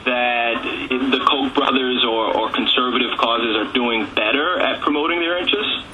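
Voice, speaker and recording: A man's voice: medium pitch, moderate pace. A man asking a question as a reporter on a press teleconference. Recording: dull, noisy phone line.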